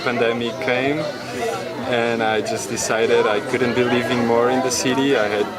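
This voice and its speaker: A man from Portugal, a herbalist stallholder, talking haltingly with many fillers in a casual interview. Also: medium pitch, flat delivery, rough recording. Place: outdoor market. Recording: loud music and crowd outdoors.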